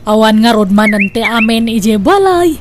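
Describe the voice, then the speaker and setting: Through clear background noise comes a high-pitched actress playing a nagging woman with an Ilocano accent. She grumbles and complains dramatically, in the manner of a comedic family radio drama. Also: lively intonation, moderate pace, close to an everyday voice. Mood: complaining.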